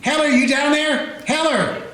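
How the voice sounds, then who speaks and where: A man playing a calm frontier sheriff. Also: extremely high pitch, measured pace, heavily acted. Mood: steady. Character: small-town Old West sheriff, steady and dry. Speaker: sheriff and narrator. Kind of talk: radio play acting. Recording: echoey room.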